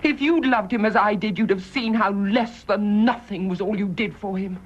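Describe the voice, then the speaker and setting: An actress playing a bitter, righteous British nurse in a radio drama, intense and indignant, with clipped delivery. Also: female voice, medium pitch, normal speed, natural voice. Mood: passionate, wounded. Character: bitter, accusing private nurse, fiercely devoted and righteous. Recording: dull, muffled radio drama recording.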